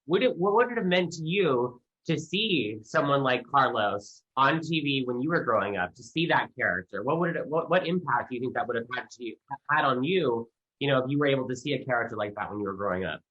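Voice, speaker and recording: A man's voice: medium pitch, lively intonation, brisk, fairly bright tone. A man interviewing a guest in a conversational way, recorded with slightly dull audio.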